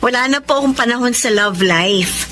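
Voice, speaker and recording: An actress playing a gentle, resilient separated young mother in an earnest, respectful Tagalog radio drama style. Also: a little high, normal speed, slight background noise. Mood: happy, content. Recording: radio drama with music underneath.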